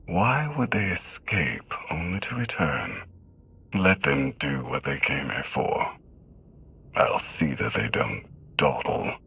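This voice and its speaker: Casting: regal, manipulative game overlord, slow and measured, heavy growl in the voice. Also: male voice, extremely low pitch, lively intonation, gravelly, sharp tone, slightly rough, heavily acted. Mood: calculating. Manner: measured, imperious, deliberate. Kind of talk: video game voice acting.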